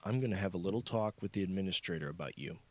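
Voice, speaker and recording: A man's voice: low voice, lively intonation, racing, a little mellow. A man voicing an arrogant, sarcastic middle-aged male scientist in audiobook dialogue, condescending and curt. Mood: angry, threatening.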